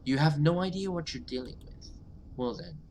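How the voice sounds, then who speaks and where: A man's voice: a little high, animated, moderate pace, darkish tone, lightly acted. Guy reading as evil taunting lady from a horror visual novel, looks down on everyone, noisy audio. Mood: mocking. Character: sinister, contemptuous villain woman taunting her victims.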